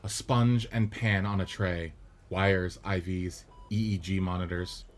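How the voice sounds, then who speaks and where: A man narrating the stage directions of a radio play script in a steady, descriptive way. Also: low voice, measured pace.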